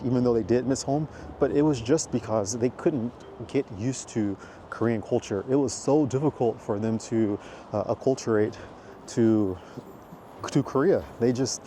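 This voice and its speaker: A man's voice: medium pitch, mellow, slight background noise. An American man vlogging outdoors while walking, talking in a rambling, chatty way with lots of fillers. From United States. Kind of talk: casual walking vlog. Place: outdoors in a city park walkway.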